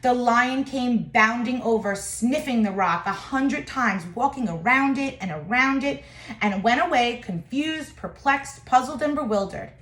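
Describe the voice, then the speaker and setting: Woman reading aloud, higher voice, roomy echo. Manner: expressive, deliberate storytelling with short phrases and emphasis. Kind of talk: children's picture book read-aloud.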